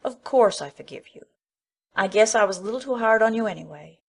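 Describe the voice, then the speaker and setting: A woman's voice: medium pitch, animated, a little fast, gravelly, breathy edge. A woman playing an outspoken, kindly but bossy older village woman who talks in blunt, folksy country speech in an audiobook drama. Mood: hearty, forgiving. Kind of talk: full-cast audiobook acting.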